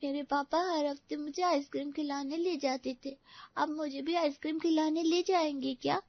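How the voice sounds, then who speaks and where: A woman's voice: high-pitched, fairly even intonation, normal speed, no echo, muffled recording, voice pushed up. A woman voicing a sweet, anxious little girl with a small, innocent, pleading voice in a story. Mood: innocent, eager. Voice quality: small childlike voice.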